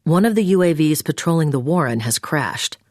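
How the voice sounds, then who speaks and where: An actress playing a brisk, commanding intelligence agent in a video game, giving mission orders in a businesslike, clipped way. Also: female voice, low voice, animated, no echo, studio quality. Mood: businesslike, urgent. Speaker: mission handler over the phone. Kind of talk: scripted video game voice acting.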